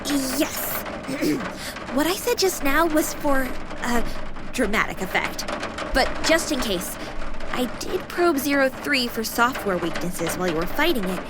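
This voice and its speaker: A woman's voice: sits high, animated, a little slow, heavy background noise. A woman playing a teasing, sassy young female AI companion in a video game, with a playful, mock-formal manner. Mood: earnest, reassuring.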